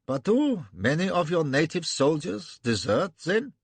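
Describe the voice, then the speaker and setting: A man's voice: a little high, sing-song, unhurried, slightly rough, no echo, voice pushed up, exaggerated voice. A man doing a lisping German princeling. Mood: polite. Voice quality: pimpish, affected lisp. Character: affected young German princeling.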